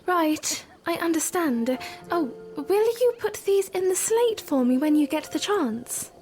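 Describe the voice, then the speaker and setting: A woman playing a polite, gentle young princess, shy and genteel, in a fan audio drama. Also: very high-pitched, lively intonation, measured pace, faint music behind. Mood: wistful.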